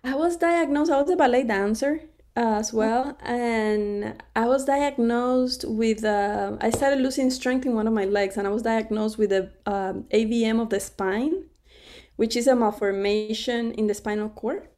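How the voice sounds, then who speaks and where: A woman from Cuba, a guest on a podcast. She speaks in a slightly high voice and tells her story in a relaxed, conversational way, with frequent laughs and false starts. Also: unhurried. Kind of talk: conversational interview.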